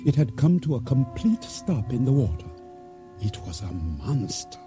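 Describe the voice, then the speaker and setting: An actor playing an uneasy, trusting boatman who tells his story in measured, formal radio drama narration. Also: medium pitch, sing-song. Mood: solemn, suspenseful. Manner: measured, formal storytelling with simple dignified phrasing. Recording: radio drama with music underneath.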